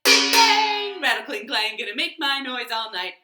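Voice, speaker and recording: Lady doing naughty little kid singing loud, super bouncy, high and bright, storybook style. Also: big pitch swings, normal speed, soft background music, exaggerated voice. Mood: gleeful, rowdy. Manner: loud, sing-song chanting. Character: mischievous, noisy little boy singing at bedtime. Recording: roomy, echoey home recording.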